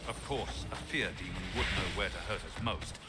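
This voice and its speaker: A stern, serious warrior from a fantasy video game, voiced by a man. His voice is fairly low, with very loud ambience around it. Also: normal speed, heavy background noise, close to an everyday voice.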